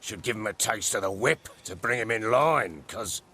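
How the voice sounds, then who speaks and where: Haughty lord with wildly swinging pitch. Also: male voice, expressive, normal speed, sharp tone, slightly rough, full character voice. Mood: urgent, commanding. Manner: imperious, scornful, blustering. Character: haughty, brusque, foul-mouthed lord commanding soldiers. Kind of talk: video game voice acting.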